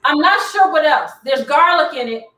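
A woman's voice: high-pitched, animated, moderate pace, sharp tone. A middle-aged woman hosting a live cooking demonstration from her home kitchen, chatty and instructive as she cooks. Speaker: cooking show host. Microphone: far camera across the kitchen. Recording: roomy kitchen from far off.

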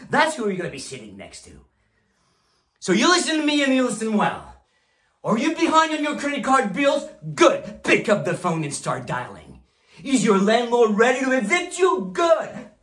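A man playing a ruthless, bombastic stockbroker boss, delivering a profane motivational monologue in a theatrical way, swinging between hushed and forceful delivery. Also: on the high side. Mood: fiery, urging. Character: ruthless, bombastic male stockbroker boss rallying his sales team. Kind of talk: theatrical film monologue performance. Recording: roomy, echoing room sound.

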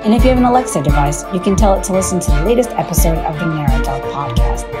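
A woman's voice: on the low side, animated, racing. Woman reading a podcast outro over hip hop. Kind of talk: scripted outro read. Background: loud hip hop music.

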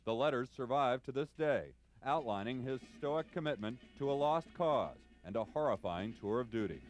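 A man's voice: mid-range voice, lively intonation, moderate pace, faint music behind. A man narrating a scripted television news feature as a reporter.

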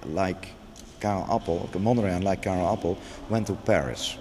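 Deep-voiced man in an echoing gallery. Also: normal speed, a little mellow. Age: middle-aged. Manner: hesitant, many restarts and fillers. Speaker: museum curator. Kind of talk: unscripted gallery interview. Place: large museum gallery indoors. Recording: long gallery reverb, faint background noise.

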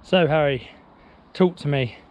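A man's voice: a little high, measured pace, darkish tone, highs cut off, slight background noise. A man filming his son on a woodland trail, coaching him in short encouraging calls while riding a mountain bike, recorded on an action camera. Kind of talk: casual vlog chat. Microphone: action camera on the rider.

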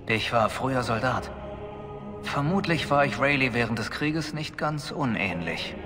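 Game role: actor as an earnest ex-soldier turned poacher, restrained and formal, medium pitch, long reverb around him. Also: male voice, lively intonation, unhurried, natural voice. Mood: sober. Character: earnest male ex-soldier and poacher, humbled, resolute. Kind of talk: dramatic video game voice acting. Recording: long reverb, music behind.

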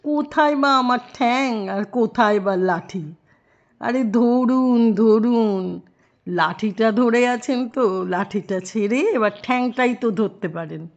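A cunning male jackal from a children's storybook, acted out by a woman. He is theatrical and stretches his calls into a slow singsong. The sound is a little dull. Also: on the high side, pitched above the performer's own voice. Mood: gleeful mocking. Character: sly cunning male jackal pundit, mocking trickster.

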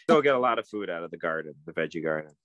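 A man's voice: low-pitched, animated, racing, a bit bright, no echo. A middle-aged man talking casually on a phone video call from a room at home, thoughtful and meandering, with many fillers and restarts. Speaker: guest, permaculturist. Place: home room with bookshelves, indoors. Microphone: phone video call, close up.

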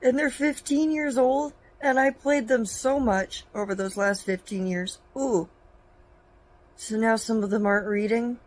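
A woman's voice: fairly high pitch, measured pace, rough recording. Chatty young woman vlogging at home. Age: young adult. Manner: chatty and bubbly, self-mocking. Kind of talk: casual vlog chat. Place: living room at home. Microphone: phone camera at arm's length.

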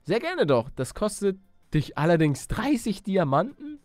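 A man acts out a polite, sentimental ship captain from a video game. The voice is a little high and dryly recorded, with courteous, plain-spoken delivery. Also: sing-song, unhurried, darkish tone, no room sound, soft background music.

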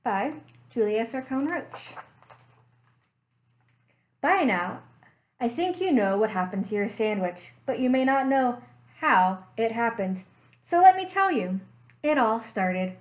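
A young woman, a librarian, reading a picture book aloud slowly and expressively at home into a webcam. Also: fairly high pitch. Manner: slow, expressive storytime reading. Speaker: children's librarian. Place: living room at home.

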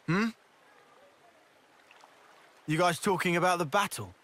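A man's voice: high-pitched, takes it slowly, pushed and bright, heavily acted. A man playing a boastful young soldier in a video game, with an informal, swaggering way of talking. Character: cocky, boastful young male soldier, quick to bicker. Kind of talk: video game cutscene acting.

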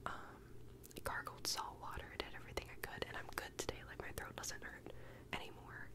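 A young woman whispers softly and steadily into a close microphone, her nose audibly stuffed up. She rambles casually with plenty of filler words, like an unhurried chat in a small bedroom. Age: young adult. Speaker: ASMR creator.

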